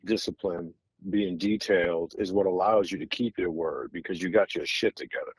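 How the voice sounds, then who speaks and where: A man's voice: low voice, steady tone, moderate pace, husky, no room sound, rough recording. An American man hosting a podcast interview in a casual, conversational style, with meandering and admiring questions. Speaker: podcast host interviewing guests.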